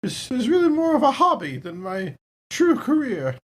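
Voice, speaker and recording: A woman's voice: sits high, very animated, normal speed, breathy edge, exaggerated voice. Woman playing a dainty, dangerous genteel lady. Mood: sweet, sly. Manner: dainty, polite, mock-apologetic. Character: Jules, genteel, coolly confident woman, sweetly dangerous.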